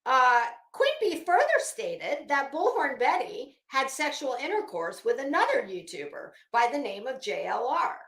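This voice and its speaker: Animated, high-pitched sarcastic woman in echoey room. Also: normal speed, hardly any pauses. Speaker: host of a commentary livestream. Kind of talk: dramatic reading with sarcastic off-the-cuff commentary.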